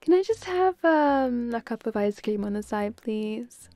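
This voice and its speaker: A woman voicing a bubbly, playful, affectionate young girlfriend in an intimate audio roleplay, with a giggly, breathy, coaxing manner. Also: fairly high pitch, expressive, measured pace, warm tone, no room sound, faint music behind. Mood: cheerful ordering.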